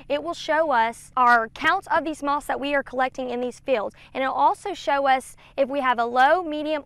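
A young woman gives an explanation as a guest field specialist in an outdoor interview. Her voice is high and picked up dry and close by a clip-on mic. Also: a bit bright, no echo. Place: outdoors in an open peanut field. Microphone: clip-on lavalier.

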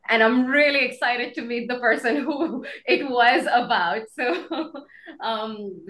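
A woman's voice: a little high, a bit bright. Earnest Indian woman in a roomy space. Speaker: invited speaker, professor and poet. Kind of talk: reflective informal talk. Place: bedroom at home. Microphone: video call. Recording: roomy home video-call sound.

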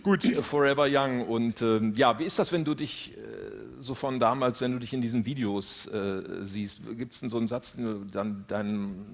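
A man in his fifties hosting a stage interview, chatty and joking, with quick follow-up questions into a handheld mic. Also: mid-range voice. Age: 50s. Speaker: TV talk show host. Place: indoor stage with audience. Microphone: handheld microphone.

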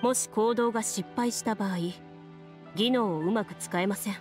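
A composed young woman game master played by an actress in an anime game. Her delivery is calm and formal, with deliberate explanations and storytelling at a middle pitch, over music. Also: close to an everyday voice. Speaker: game master narrating a tabletop game. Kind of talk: anime video game acting, storytelling narration. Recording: music under the voice.

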